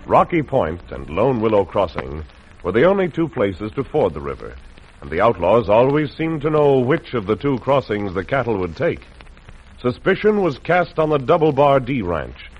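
An actor narrating a radio western in a grand, dramatic announcing style. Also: male voice, on the low side, very animated, moderate pace, a little hiss or hum. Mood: stirring, dramatic. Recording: muffled old radio recording with music.

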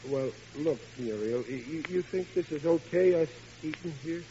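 An actor playing a bumbling, eager husband who stammers when flustered, in old-time radio sitcom style. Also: male voice, a little high, normal speed, warm tone, natural voice. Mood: nervous, bashful. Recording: muffled, hissy vintage radio broadcast.

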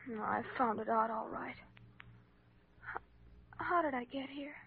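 Plaintive, defensive American girl of seventeen, highish voice, vintage radio drama, slightly dull noisy recording. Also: measured pace, creaky. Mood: despairing. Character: bitter, despairing seventeen-year-old troubled girl. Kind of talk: classic American radio melodrama. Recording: muffled, hissy old radio recording.

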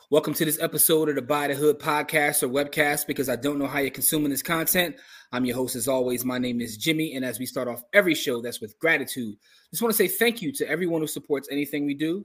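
A man's voice: fairly high pitch, fairly bright tone, husky. A man hosting a podcast, talking in an upbeat, slangy, casual way as he interviews a guest. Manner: fast, upbeat, slangy banter. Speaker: podcast host.

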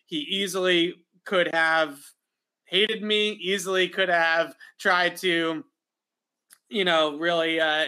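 Nasal, very bright young man. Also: a little high. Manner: rambling, filler-heavy, repeats words. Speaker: podcast host. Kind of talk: casual podcast chat.

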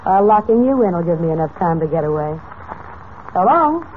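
A woman's voice: on the low side, big pitch swings, a little fast. Old crackly narrowband radio show, a tough young lady thief, bossy and defiant. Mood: mocking triumph. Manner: tough, mocking, commanding. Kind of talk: 1940s radio serial acting. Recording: tinny old radio recording, light noise.

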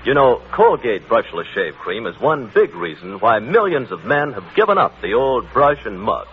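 A man's voice: medium pitch, big pitch swings, moderate pace. An American man announcing in the polished selling style of a 1940s radio commercial. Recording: muffled vintage 1940s radio broadcast.

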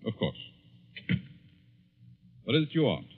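An actor with a Hungarian accent playing a scheming psychology professor feigning innocence, in deliberate, theatrical 1940s radio style. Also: male voice, medium pitch, very animated, breathy edge. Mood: polite, wary. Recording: muffled, narrow-band 1940s radio recording.

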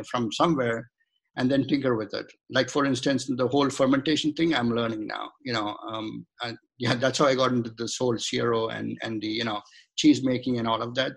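A man's voice: medium pitch, gravelly. A middle-aged man from India, a guest in a casual interview, talking in a rambling way with many restarts and fillers. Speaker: guest, home cook and shaving-product maker.

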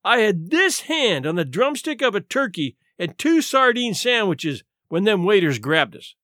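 Storybook role: bulky, destitute ex-cop captain, played by a male narrator, growly and blustering, streetwise, animated intonation. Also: high voice, a little slow, no room sound, clean studio sound, exaggerated voice. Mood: grumbling. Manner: growling, groaning, grumbling, coarse streetwise speech. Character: huge blustering down-and-out ex-police captain, grumbling and hungry.

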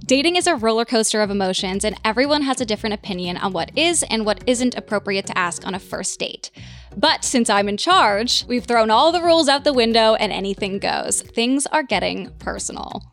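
A woman's voice: fairly high pitch, lively intonation, brisk, fairly bright tone. A woman hosting a dating podcast, upbeat and playful, with faint music behind. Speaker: host.

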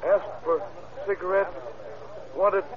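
An actor playing a frightened, pleading railroad lunch-counter owner in a 1930s radio drama. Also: male voice, high voice, steady tone, slow, a crowd in the background. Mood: weak, dazed. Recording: muffled old radio drama recording, busy background.

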